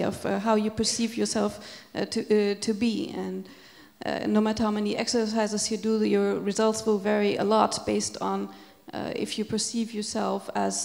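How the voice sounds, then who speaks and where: A woman in the audience offering a recommendation in a measured way, with frequent pauses. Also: mid-range voice, creaky, a little mellow. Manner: measured, many filler pauses. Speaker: audience member commenting. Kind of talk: conference Q&A. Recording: echoey lecture hall.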